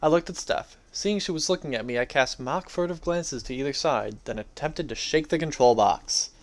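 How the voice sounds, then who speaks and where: A man's voice: fairly high pitch, normal speed. A young adult man giving a dramatic reading of his own first-person story.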